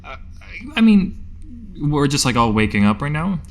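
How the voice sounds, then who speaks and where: A man's voice: fairly high pitch, big pitch swings, moderate pace. A man chatting casually as a player on a tabletop game podcast, rambling and slangy. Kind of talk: casual chat.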